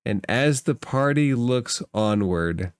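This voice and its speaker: Smooth-voiced man narrating a tabletop game. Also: medium pitch, animated, slow pace, dry recording, clean studio sound. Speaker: game master.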